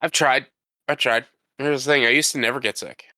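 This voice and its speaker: Congested man with a bright, crisp voice. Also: medium pitch, fairly even intonation, a little fast, no room sound. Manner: rambling, defensive, restarts often. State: congested from a cold. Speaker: podcast co-host. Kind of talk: casual chat.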